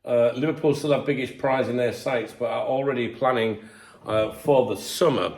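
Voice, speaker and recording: A middle-aged English man with a stuffy, congested voice and a sore throat, chatting casually as a football channel host and often clearing his throat. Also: mid-range voice, fairly even intonation, normal speed, a little mellow. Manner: chatty, frequent throat clearing, filler-heavy. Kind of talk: casual commentary to camera.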